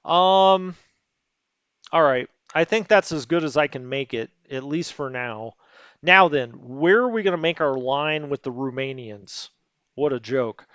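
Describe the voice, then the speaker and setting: A man's voice: a little high, measured pace, fairly bright tone, smooth, dry recording, lo-fi sound. A man hosting a strategy game let's play, thinking aloud in a rambling, hesitant way with lots of fillers and second-guessing. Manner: rambling, self-questioning, hesitant, many fillers.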